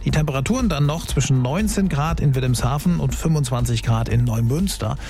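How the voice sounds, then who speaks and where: A man's voice: mid-range voice, expressive, brisk, dark tone. A man presenting on the radio in a chatty, informal way. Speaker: radio host. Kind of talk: casual radio presenting, weather and traffic. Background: music bed.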